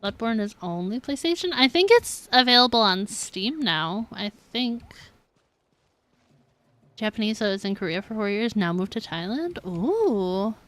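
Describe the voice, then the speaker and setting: A woman's voice: mid-range voice, expressive, unhurried, a bit bright. A woman chatting casually on a game live stream, answering viewers and sometimes mumbling her words. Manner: mumbles at times, reads and answers chat. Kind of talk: casual live-stream chat while gaming.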